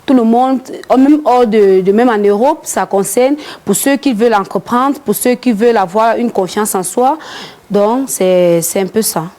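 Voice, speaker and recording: A woman's voice: a little high, moderate pace, warm tone. A Cameroonian woman with a Cameroonian French accent, co-hosting a live talk show, agreeing and finishing her partner's sentences. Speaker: radio co-host.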